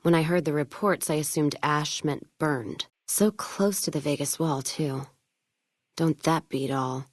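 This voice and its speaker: A woman's voice: deep voice, unhurried, dry recording, studio quality. A woman voicing a hard-drinking, world-weary caravan trader in a video game, speaking bluntly with wry, folksy phrasing. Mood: somber, subdued. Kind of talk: video game voice acting, scripted dialogue.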